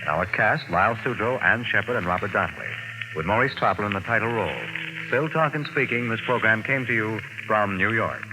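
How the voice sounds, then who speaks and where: A man's voice: fairly low pitch, expressive, brisk. A man with an American accent reading scripted network announcements in a formal, promotional old-time radio style. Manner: formal, promotional, crisply phrased. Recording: noisy old radio recording.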